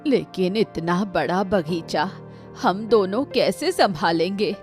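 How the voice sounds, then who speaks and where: Woman acting as cartoon housewife, anxious, stubborn, protesting, highly animated delivery, faint music behind. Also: takes it slowly. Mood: reluctant, protesting. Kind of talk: Hindi cartoon moral story voice acting.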